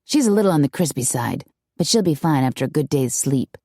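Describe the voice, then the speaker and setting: A woman's voice: deep voice, animated, fairly quick, warm tone, no echo, pitched below the performer's own voice. A woman voicing a sardonic, dramatic young female gossip with a dry drawl in an audiobook story. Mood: deadpan.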